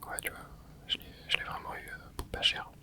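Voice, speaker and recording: An ASMR creator giving soft, whispered commentary while playing a video game, rambling and hesitant with lots of fillers and restarts. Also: a little fast. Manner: soft unvoiced whisper throughout.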